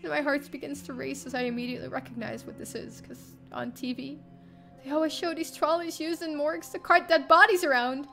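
A young woman streamer reading a visual novel aloud as expressive, dramatic first-person narration. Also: high-pitched, brisk, vocal fry, soft background music. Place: indoor room, livestream setup.

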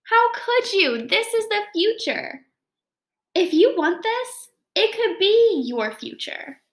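A young woman voicing a storybook girl's gentle, knowing grown-up future self, earnest and reassuring. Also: extremely high pitch, sing-song, slow pace, roomy sound, voice pushed up, exaggerated voice. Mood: earnest, encouraging.

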